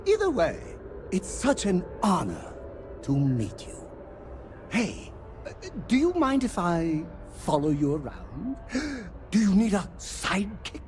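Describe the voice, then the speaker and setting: A woman's voice: on the low side, wildly swooping pitch, a little hiss or hum, heavily acted. An actress playing an obsessive, theatrically adoring female superfan of a space hero in a video game cutscene. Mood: eager, pleading. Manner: theatrical, breathless adoration, swooping from shouts to drawn-out awe. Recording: music behind the voice.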